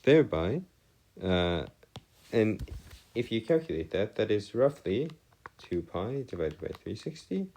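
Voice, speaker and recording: A man with a deep voice explains things step by step like a tutor. His delivery is slow, with very varied intonation. He phrases things formally and wordily, hesitating and correcting himself as he goes. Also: sing-song, a little mellow. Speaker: math tutor. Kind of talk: informal lecture, explaining step by step.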